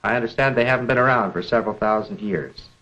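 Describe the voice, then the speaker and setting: A middle-aged American man presenting an educational lecture in a measured, deliberate manner, posing rhetorical questions, on a 1950s film soundtrack. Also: fairly low pitch, dull audio.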